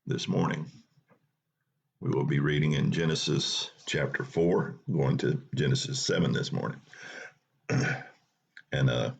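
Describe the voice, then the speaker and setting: Gravelly deep-voiced man reading scripture. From North Carolina. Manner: unhurried, plain reading with small restarts. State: low bass morning voice. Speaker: Bible study host.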